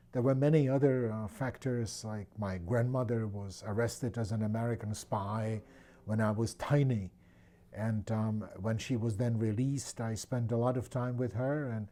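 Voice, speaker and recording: A man in his sixties from the Czech Republic with a Czech accent, speaking thoughtfully in an interview with many pauses and restarts. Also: mid-range voice, dark tone. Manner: thoughtful, with frequent fillers and restarts. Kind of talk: reflective personal interview.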